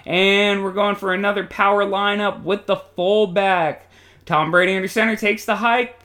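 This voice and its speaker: A man's voice: sits high, steady tone, normal speed, sharp tone. A man doing play-by-play football commentary in a colloquial, jokey, exclamatory style. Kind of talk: excited sports commentary.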